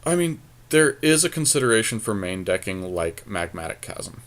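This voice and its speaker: A man's voice: fairly low pitch, big pitch swings, normal speed, a bit bright. A man co-hosting a podcast, chatting casually and thinking aloud in short, colloquial phrases as he works through a card game. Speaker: podcast co-host. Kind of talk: casual chat while drafting a card game.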